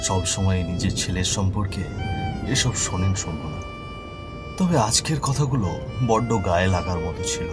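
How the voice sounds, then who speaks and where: A man's voice: deep voice, moderate pace, loud music behind the voice. A man narrating a scripted horror story in a solemn, earnest manner.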